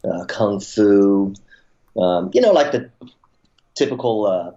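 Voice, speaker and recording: A man in his forties with a lowish voice tells his story as an interview guest, rambling, full of fillers and restarts. He turns lively when he quotes people. The audio is dull and lo-fi. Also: slow. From born in Taiwan, raised in the United States. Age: 40s. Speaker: interview guest, martial arts promoter. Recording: dull lo-fi sound.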